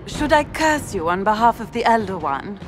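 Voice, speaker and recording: A woman's voice: mid-range voice, sing-song, normal speed, soft background music, lightly acted. A woman voicing a disgraced, defiant grand duchess in a fantasy game, speaking with formal scorn. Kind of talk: cinematic fantasy game dialogue.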